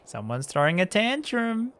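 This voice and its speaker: A man's voice: high-pitched, sing-song, normal speed, a bit bright. A man giving casual, chatty game commentary on a live stream, rambling and easily amused. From Australia. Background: game music.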